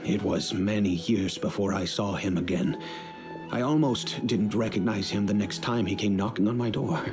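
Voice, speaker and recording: An actor playing a grave middle-aged father and head of a noble family, speaking in a measured, solemn, storytelling way over game music. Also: lowish voice, natural voice.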